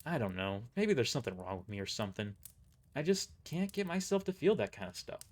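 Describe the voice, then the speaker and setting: A man voicing a guarded, blunt but caring young agent in an audio drama, halting and conflicted. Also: mid-range voice, very animated, lightly acted. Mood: awkward, conflicted. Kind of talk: amateur audio drama dialogue.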